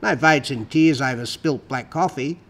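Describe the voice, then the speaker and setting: A man's voice: medium pitch, normal speed, a bit bright. A man giving a dramatic, emphatic recitation of rhyming poetry. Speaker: poetry reader.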